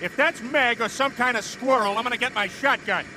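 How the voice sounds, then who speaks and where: A man playing a dim, blustering middle-aged father in a cartoon video game, loud and irritated. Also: very high-pitched, fairly quick, exaggerated voice. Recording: noisy effects behind the voice.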